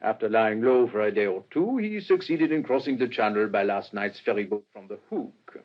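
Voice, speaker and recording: An actor playing a brisk, serious police inspector in a radio play, husky, formal and dramatic. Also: male voice, lowish voice, animated. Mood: grave, urgent. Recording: dull old radio recording.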